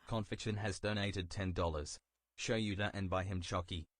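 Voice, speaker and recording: Deep synthetic male donation reader. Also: moderate pace. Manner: flat synthetic readout. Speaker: text-to-speech donation reader.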